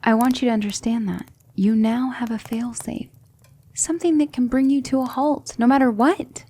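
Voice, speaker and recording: A woman playing a patient, composed demon magic teacher in a soft-spoken ASMR roleplay, measured and reassuring. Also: on the high side. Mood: calm, reassuring. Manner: measured, instructive, reassuring, with hushed breathy dips.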